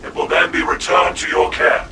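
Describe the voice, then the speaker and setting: An actor playing a resolute male commander who speaks with measured, calm authority. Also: fairly high pitch, slight background noise. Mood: commanding. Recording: distant voice under loud game music.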